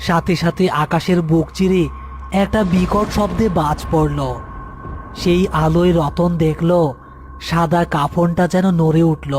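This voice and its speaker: A man's voice: on the high side, fairly even intonation, unhurried, noisy background. A man narrating a scripted horror story as storyteller.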